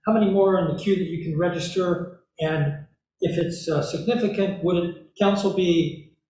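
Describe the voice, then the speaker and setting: A man chairing a council public hearing, brisk and friendly, with frequent uhs. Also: high voice, steady tone, a little mellow, recorded from a distance, a little hiss or hum. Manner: brisk, friendly, uh-filled procedural talk. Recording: very echoey meeting room.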